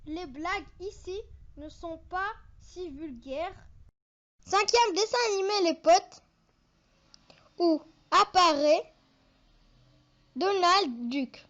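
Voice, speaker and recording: Very high, childlike voice, slow halting narration. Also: lively intonation, a bit bright. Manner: halting phrase-by-phrase reading with casual asides to viewers. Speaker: video host. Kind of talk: informal countdown narration for an online video.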